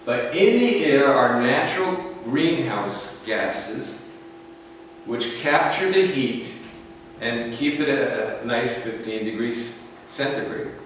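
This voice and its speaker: An American man giving a slow, explanatory lecture to a school class, often checking in with "okay?". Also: mid-range voice, animated, slight background noise. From United States. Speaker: English teacher. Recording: echoey classroom from far off.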